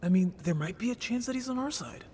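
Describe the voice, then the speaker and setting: Man playing lively, youthful, naive merfolk. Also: extremely high pitch, fast talker, a little hiss or hum. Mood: hopeful, earnest. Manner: casual, hesitant, expressive. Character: naive, youthful, curious male merfolk, earnest and cheeky. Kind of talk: scripted audio drama roleplay.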